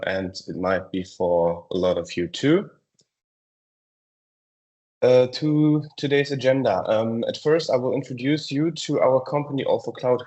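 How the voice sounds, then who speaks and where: A man's voice: mid-range voice, darkish tone, low-quality recording. German-accented man presenting a webinar. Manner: frequent ums and uhs, halting.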